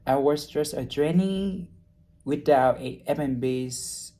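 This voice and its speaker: Young man with dark, mellow tone, halting. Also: on the high side. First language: not English. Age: young adult. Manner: halting, frequent restarts and fillers, searching for words. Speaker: hospitality trainee. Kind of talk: unscripted personal video reflection.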